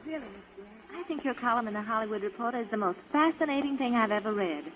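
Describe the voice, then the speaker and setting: Actress for starstruck young-sounding female fan, higher voice, eager and gushing, muffled old radio sound. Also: animated, fast talker, lightly acted. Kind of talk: 1940s radio comedy acting. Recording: muffled, distant vintage radio recording.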